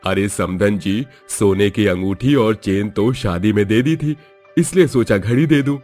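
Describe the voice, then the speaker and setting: A man voicing a gentle middle-aged father who speaks softly and politely to his in-laws. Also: on the low side, a little slow, soft background music. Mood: earnest.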